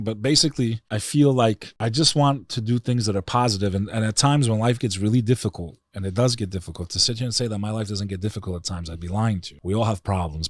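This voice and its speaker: A man with a very deep voice and a New York accent, talking earnestly and bluntly in an interview with loose slang. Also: no echo, studio quality. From United States. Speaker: guest. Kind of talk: casual interview talk.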